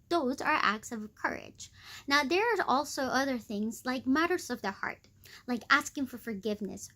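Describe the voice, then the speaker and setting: A woman hosting a weekly devotional talk, earnest and encouraging, conversational with many fillers, with a squeaky voice. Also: a bit bright. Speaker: host of a weekly devotional video. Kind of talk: devotional teaching.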